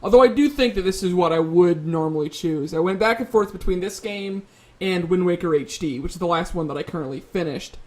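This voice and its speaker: Male panelist, high-pitched, conversational, qualifies his picks in a casual video game discussion. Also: a little fast.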